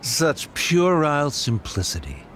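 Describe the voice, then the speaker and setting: A man's voice: a little high, wildly swooping pitch, a little slow, a bit bright, a little hiss or hum, heavily acted. An actor playing a cold, condescending scholar father in a game dub, pompous and aloof in his delivery. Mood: disdainful, relieved. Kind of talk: dramatic game dialogue. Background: prominent background music.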